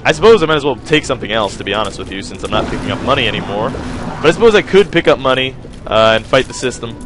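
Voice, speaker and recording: Young man, gaming commentary, lively up-and-down pitch, rambling and self-mocking, faint game music underneath. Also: fast talker. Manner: rambling, joking, self-mocking, talks to viewers. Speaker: gaming video host. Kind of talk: casual gameplay commentary.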